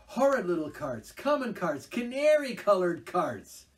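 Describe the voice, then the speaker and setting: A man's voice: high-pitched, big pitch swings, unhurried, recorded from a distance, voice pushed up. A man putting on a rapturous, swooning Toad. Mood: dreamy. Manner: gushing, swooping and breathless. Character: rapturous, boastful male toad, lost in dreamy obsession. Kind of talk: storybook reading aloud.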